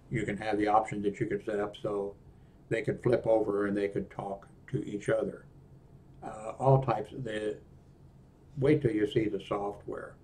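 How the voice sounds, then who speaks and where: An older man with an American accent giving an unscripted product walkthrough in a halting, rambling way that often trails off. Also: on the low side. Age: 60s or older. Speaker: presenter of a radio equipment review. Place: small indoor room at a desk.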